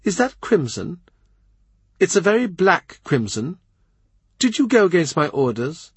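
An imperious, sharp-tongued young queen, as a male audiobook reader puts her on, scornful and commanding in a raised, husky voice. The recording is close and dry. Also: fairly high pitch, sing-song, measured pace, dry recording, voice pushed up, exaggerated voice.

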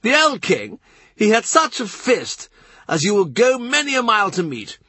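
A man's voice: sits high, normal speed, breathy edge, no room sound, pitched above the performer's own voice, exaggerated voice. A frantic, blustering old nobleman. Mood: agitated, hectoring. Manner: excitable, lecturing, emphatic. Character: blustering panicky nobleman, older man. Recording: dry close recording.

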